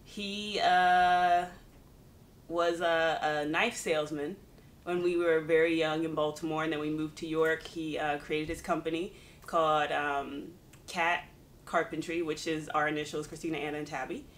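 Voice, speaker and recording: A woman in her thirties from Pennsylvania, talking relaxed in an interview and cracking jokes. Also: sits low, measured pace, slight background noise. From Pennsylvania, USA. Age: 30s. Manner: relaxed, joking, some hesitations. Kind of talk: casual interview.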